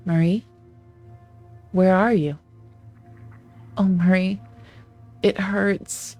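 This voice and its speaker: A woman's voice: fairly even intonation, drawn-out words, darkish tone, faint music behind. A woman voicing a confused, pleading husband who does not know he has died. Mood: bewildered pleading. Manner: bewildered, pleading, voice raised higher. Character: confused ghostly husband, middle-aged man, pleading.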